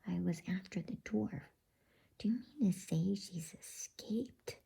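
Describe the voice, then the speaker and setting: Lady whispering as kindly storybook creatures on a rescue, chatty, really breathy, soft and mellow. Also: medium pitch, unhurried, exaggerated voice. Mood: flustered.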